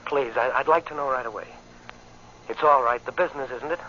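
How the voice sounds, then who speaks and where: A man's voice: mid-range voice, sharp tone, close to an everyday voice. Actor as an earnest, tense young farm boy. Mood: anxious, pleading. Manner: polite, halting, pleading. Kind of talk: old-time radio drama acting. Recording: dull, noisy old radio recording.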